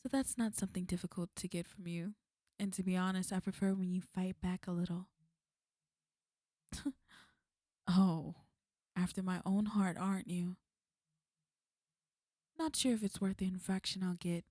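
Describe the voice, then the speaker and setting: Woman acting a smug, mellow-voiced female villain. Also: lowish voice, fairly even intonation, dry recording, clean studio sound, natural voice. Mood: teasing. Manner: taunting, coolly threatening, unhurried. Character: smug, menacing female villain, fond of an enemy. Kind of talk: ASMR roleplay.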